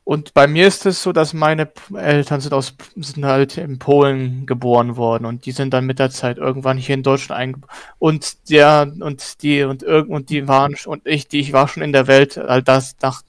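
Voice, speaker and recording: A man speaks haltingly in a relaxed online discussion and trips over his sentences. The sound is dry and close. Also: medium pitch, no echo. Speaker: discussion participant. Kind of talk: casual group chat.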